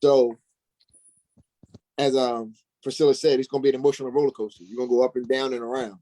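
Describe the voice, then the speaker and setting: A man with a medium-pitched voice talks casually as a guest actor on a panel. His delivery is conversational. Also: flat delivery, fast, mellow, low-quality recording. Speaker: stage actor, interview guest.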